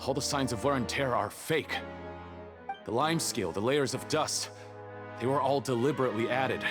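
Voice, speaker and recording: A man's voice: medium pitch, normal speed, over music, lightly acted. An actor playing a dutiful fortress guard in an anime-style video game, a man reporting earnestly and formally to his superior. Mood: urgent, reporting.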